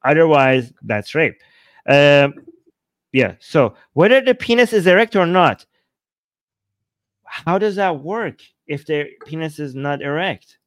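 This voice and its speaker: A man hosting a livestream, reading texts and viewer messages aloud and commenting casually in an animated, mock-incredulous, digressive way. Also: on the high side, slow, pauses often, dry recording. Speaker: livestream host.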